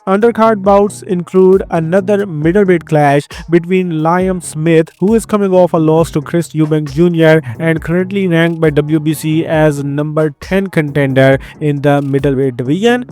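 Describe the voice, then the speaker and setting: A man's voice: a little high, moderate pace, hardly any pauses, darkish tone. A man with an Indian accent hosting an upbeat, scripted rundown of fight news. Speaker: host. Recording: faint background music.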